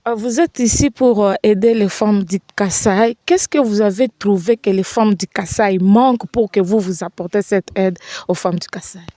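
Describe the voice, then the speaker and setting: A woman's voice: medium pitch, moderate pace, dark tone, no echo. A woman hosting a TV interview in a studio, asking formal, wordy questions with many fillers. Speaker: TV host. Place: TV studio set.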